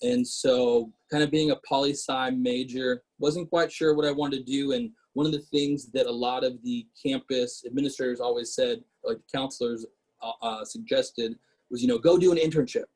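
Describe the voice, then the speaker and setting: Husky-voiced man in his thirties. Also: mid-range voice, fairly even intonation, moderate pace, darkish tone, low-quality recording. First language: Portuguese. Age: 30s. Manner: conversational, with frequent fillers and restarts. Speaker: guest speaker, city council member. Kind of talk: casual webinar talk.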